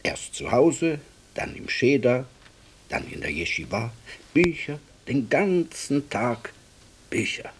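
An actor playing a gentle, devout librarian in a radio drama, reverent and reflective, with a husky breathy voice. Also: male voice, medium pitch, sing-song, takes it slowly, slight background noise. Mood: reverent, wistful. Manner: reverent, reflective storytelling with deferential asides.

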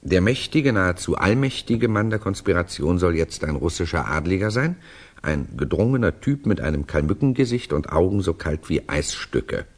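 A man acting as a wry frame narrator in a 1960s German radio drama, reading out notes with emphatic comments in a husky voice. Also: deep voice, expressive, normal speed. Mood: sardonic, emphatic.

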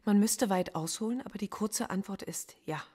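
An actress playing a philosophy professor under interrogation in a radio play, terse, controlled and firmly resistant. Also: female voice, medium pitch, moderate pace, dark tone, husky, close to an everyday voice. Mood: guarded. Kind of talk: naturalistic German radio play acting.

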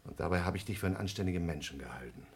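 Here is an older man in a broadcast radio play who feels cornered by his companion. He speaks with indignant defensiveness, his pitch moving a lot between low grumbles and loud protests. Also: lively intonation, racing.

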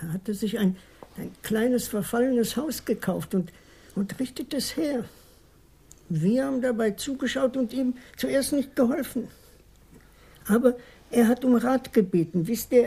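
An actor voicing a small, evasive police informant in a radio crime drama, hesitant and defensive, repeating words, with a husky voice. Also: medium pitch, animated, measured pace, dark tone, heavily acted. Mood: confiding, admiring. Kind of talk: 1980s German radio play acting.

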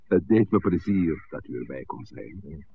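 Deep, gravelly courteous village priest. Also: male voice, animated, unhurried, full character voice. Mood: genial, polite. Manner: courteous, formal. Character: courteous, genial male village priest with hidden guile. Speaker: actor. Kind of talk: 1960s radio drama acting. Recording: noisy, muffled old radio recording.

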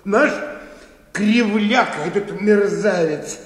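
An actor playing a worn-out, hot-tempered old bank bookkeeper who grumbles and mutters to himself in a radio play. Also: male voice, high voice, slow pace. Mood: sour muttering. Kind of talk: theatrical radio play acting. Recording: echoey, reverberant studio hall.

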